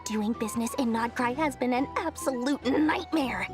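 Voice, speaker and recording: A woman's voice: fairly high pitch, normal speed, lightly acted. A woman voicing a small, sassy, self-important but friendly merchant girl in a video game. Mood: indignant. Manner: self-important, playful, theatrical. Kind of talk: video game character acting. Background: game music.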